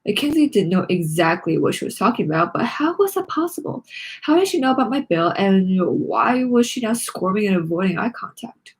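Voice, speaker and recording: A woman's voice: mid-range voice, sing-song, brisk, slightly rough, poor audio. A woman reading a children's story aloud with dramatic expression, acting out the lines. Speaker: read-along narrator of a children's book.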